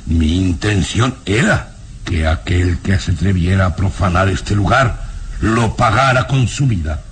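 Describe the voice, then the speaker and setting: A man playing a proud, sinister nobleman and father in a radio serial, speaking in a haughty, menacing, deliberate way. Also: low-pitched, lively intonation, a little hiss or hum. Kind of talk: Spanish-language radio serial acting.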